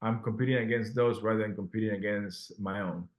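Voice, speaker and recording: A man's voice: fairly low pitch, steady tone, a little fast. A man from Peru, a guest telling his story in a casual interview, earnest and full of restarts and fillers.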